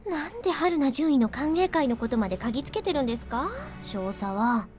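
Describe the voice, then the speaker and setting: A brisk young female subordinate in a military visual novel. She speaks very fast in a slightly high voice, dry and businesslike. Light music plays behind her. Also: expressive, a little mellow. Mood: exasperated. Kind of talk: Japanese visual novel voice acting. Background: game background music.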